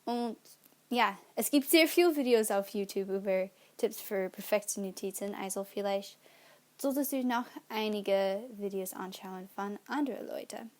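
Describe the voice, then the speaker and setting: A young woman whose first language is English, speaking German with an English accent as she hosts her own study-tips channel, halting often to correct herself. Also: mid-range voice. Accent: English-speaking learner of German. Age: young adult. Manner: slow and halting, with pauses and self-corrections. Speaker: student channel host. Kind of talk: casual study-tips vlog.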